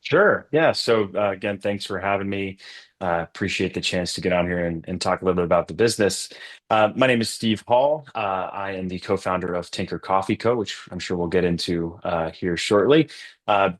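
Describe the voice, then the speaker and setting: A middle-aged man from Massachusetts, talking casually as a podcast guest, relaxed with lots of fillers and restarts. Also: low-pitched, moderate pace. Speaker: podcast guest, coffee company co-founder. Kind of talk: casual interview chat.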